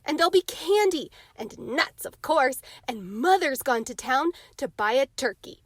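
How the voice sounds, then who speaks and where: Woman acting cocky little boy, age ten, high voice, emphatic, eager, storybook character, close dry sound. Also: expressive, a little slow, no echo. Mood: confident scoffing. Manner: emphatic, childishly earnest and boastful. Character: confident, eager ten-year-old boy, bossy and bright. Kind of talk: storybook read-aloud.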